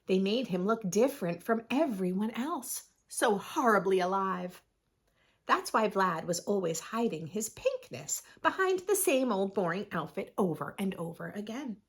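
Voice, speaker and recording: A woman reading a picture book aloud to young children, expressively, with dramatic pauses and drawn-out emphasis. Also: on the high side, fairly bright tone, breathy edge. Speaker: children's storybook reader, host. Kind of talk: expressive read-aloud narration for preschoolers.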